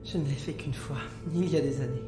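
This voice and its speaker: A woman playing a gentle, emotional young heroine with a soft, earnest way of speaking, in an audio drama. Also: very deep voice, a little fast. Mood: calm, earnest. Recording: roomy sound under music.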